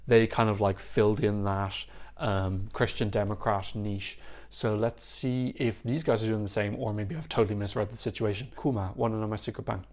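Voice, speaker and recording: A man giving casual, excitable reaction commentary on songs, swearing freely as he chats. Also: on the low side, normal speed, slight background noise. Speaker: Eurovision reaction YouTuber. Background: faint music playing.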